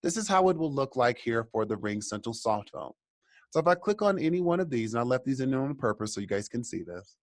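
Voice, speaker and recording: A man with a medium-pitched voice leads a how-to tutorial. He explains things step by step and addresses the viewer directly. The sound is dry, without room reverb. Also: sing-song, fairly quick. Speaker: tutorial instructor. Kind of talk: software tutorial walkthrough, semi-scripted explanation.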